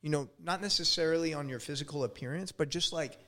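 A man in his thirties hosting a podcast, chatting casually and relaxed, with lots of "like" and some swearing. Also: a little high, fast talker. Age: 30s. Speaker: podcast host. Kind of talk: casual interview chat.

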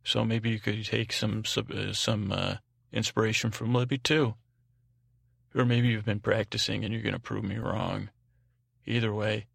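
A man hosting a sleep podcast with an improvised, meandering monologue, halting, repeating himself and trailing off in a creaky voice. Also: fairly low pitch, flat delivery, a bit bright, dry recording, clean studio sound. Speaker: podcast host. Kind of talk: rambling, improvised bedtime monologue.

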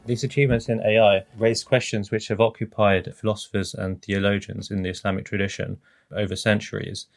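A man giving an academic explanation as an expert interviewee, with formal, measured wording. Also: fairly low pitch, vocal fry. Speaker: expert interviewee, philosopher and scientist.

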